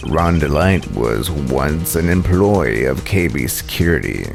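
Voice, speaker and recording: Guy doing smug menacing game prosecutor, slow deep drawl, creaky, taunting. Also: animated, over music, exaggerated voice. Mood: smug, accusing.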